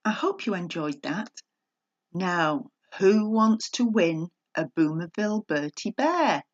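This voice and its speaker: A woman's voice: lowish voice, animated, slow pace, highs cut off. A middle-aged woman hosting a podcast, speaking in a chatty presenting style. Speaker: podcast host.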